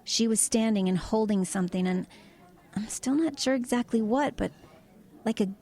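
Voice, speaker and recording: A woman's voice: on the high side, normal speed, dark tone. An actress playing a matter-of-fact woman truck driver in an audio drama, recounting eerie visions in a hesitant, conversational way. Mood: thoughtful, searching.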